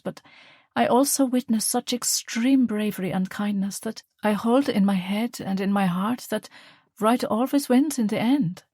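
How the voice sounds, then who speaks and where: A woman reading the part of a calm, loving mother, speaking softly and tenderly. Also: on the high side, slightly rough, no echo, studio quality, pitched above the performer's own voice. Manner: soft, tender, reassuring.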